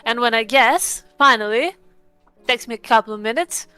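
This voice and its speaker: A woman's voice: fairly high pitch, normal speed, sharp tone, smooth, dry recording, faint music behind. A woman playing in a tabletop vampire role-playing game, casually narrating and speaking as her character.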